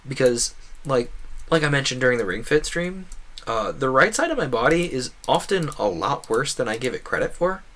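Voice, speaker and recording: A man's voice: medium pitch, very animated, moderate pace, a bit bright. A man giving casual gameplay commentary as a streamer, thinking aloud in a wordy, meandering way. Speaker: game streamer.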